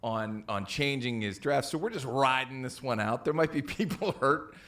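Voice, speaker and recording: A man co-hosting a podcast with casual, jokey and animated banter. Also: mid-range voice, moderate pace, a crowd in the background. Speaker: podcast co-host.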